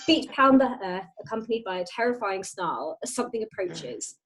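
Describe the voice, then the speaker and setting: A woman's voice: fairly high pitch, lively intonation, normal speed, fairly bright tone, faint music behind, low-quality recording. A woman narrator reading a play's stage directions as dramatic scripted narration. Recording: home internet call.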